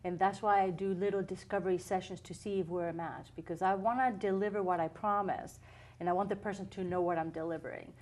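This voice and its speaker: A woman's voice: lowish voice, fast. Earnest woman from Puerto Rico. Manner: calm, earnest, explanatory with frequent "mm-hmm". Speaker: chiropractor guest. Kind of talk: interview answers.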